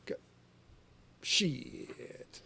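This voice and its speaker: A man hosting a livestreamed podcast, reading his own fiction aloud and chatting in a quick, energetic, profane, joking way. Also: a little hiss or hum. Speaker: author and podcast host. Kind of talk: livestream chat and story reading. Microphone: livestream camera setup.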